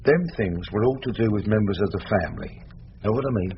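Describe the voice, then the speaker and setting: Man playing a quick-talking, shifty London crook. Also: lowish voice, natural voice. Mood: confiding. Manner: evasive, hesitant. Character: shifty, evasive South London petty villain, male. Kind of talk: comic drama acting. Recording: dull, noisy recording.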